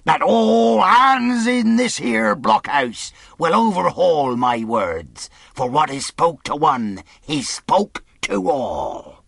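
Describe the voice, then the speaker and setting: A man voicing a menacing, wrathful pirate leader in an audiobook, rough and growling, speaking in rustic sailor dialect. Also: on the high side, huge pitch swings, takes it slowly, bright, forceful tone, no echo, pitched above the performer's own voice, heavily acted. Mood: sly, bargaining. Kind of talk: audiobook character acting.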